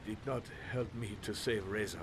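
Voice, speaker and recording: A man's voice: fairly low pitch, steady tone, a little fast, natural voice. An actor playing a dignified, stubborn, grieving male shopkeeper in a video game, speaking in formal, courteous, stilted phrases. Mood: grateful, sorrowful. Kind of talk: dramatic video game acting. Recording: clear background noise and ambience.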